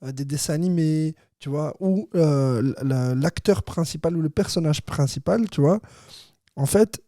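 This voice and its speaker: A man hosting a podcast, joking and playful, with quick asides. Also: a little high, mellow, no room sound, studio quality. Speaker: podcast host. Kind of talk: casual podcast chat. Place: hot podcast studio.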